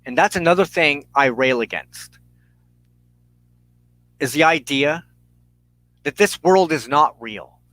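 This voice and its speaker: A man delivers an impassioned, sermon-like monologue at an unhurried pace. His voice sits a little higher than average, and he speaks deliberately, repeating phrases for emphasis and leaving dramatic pauses. The sound is close and dry, with hardly any room in it. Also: animated, fairly bright tone, no room sound. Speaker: preacher and host of a spiritual show.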